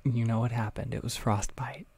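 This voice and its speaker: A man with a gravelly, husky voice hosts a calm livestream, reading viewers' messages aloud. He talks softly, often dropping to a half-whisper, with many small pauses and fillers. His nose is a little stuffy, and he sits close to the microphone. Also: medium pitch. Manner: soft, halting, with frequent fillers and half-whispered stretches. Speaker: ASMR audio creator hosting a livestream. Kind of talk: soft-spoken casual livestream chat, reading chat.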